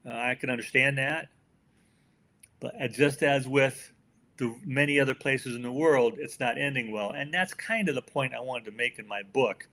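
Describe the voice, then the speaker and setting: A man's voice: mid-range voice, moderate pace, pushed and bright. An older man with an American accent, giving folksy, opinionated answers as a guest in a conversational interview. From United States. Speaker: podcast guest, author.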